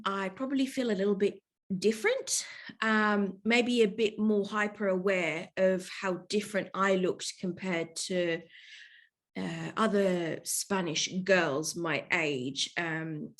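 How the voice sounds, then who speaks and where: Young London woman guest, mid-pitch, hesitant and reflective, filler-heavy. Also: a bit bright. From England, London. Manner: thoughtful, hesitant, many fillers, laughs often. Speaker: podcast guest, yoga teacher. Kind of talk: casual interview chat.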